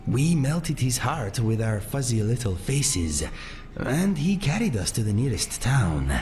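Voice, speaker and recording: A man playing a gentle, courteous cat-folk sellsword in a fantasy game, speaking in measured, formal phrases without contractions. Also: medium pitch, big pitch swings. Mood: wry, reminiscing. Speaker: companion character in a fantasy video game. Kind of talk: scripted game dialogue. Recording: faint music under the voice.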